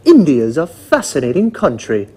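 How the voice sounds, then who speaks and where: A man's voice: a little high, wildly swooping pitch, moderate pace, dark tone, husky, full character voice. A man playing a genial TV presenter in a scripted educational programme. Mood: genial, encouraging. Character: genial male TV presenter welcoming guests.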